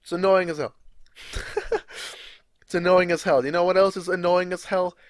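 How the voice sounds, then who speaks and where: A man's voice: sits high, fairly even intonation, moderate pace, no room sound, studio quality. Chatty young man with a Czech accent. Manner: chatty, swears freely, laughs at himself. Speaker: gaming video creator. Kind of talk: casual rambling live commentary.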